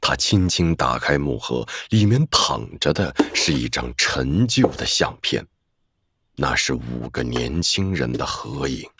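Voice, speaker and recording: Casting: male narrator, low voice with lively swings, dramatic storytelling. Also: unhurried, husky, dry recording, studio quality.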